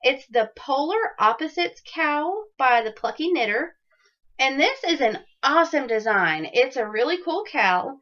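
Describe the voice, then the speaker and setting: Lady knitting podcaster, bit raspy from a cold, kinda high voice, lots of ums, muffled lo-fi sound. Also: animated, unhurried, a bit bright. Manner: chatty, many ums, upbeat enthusiasm. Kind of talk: casual video podcast chat. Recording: dull lo-fi audio.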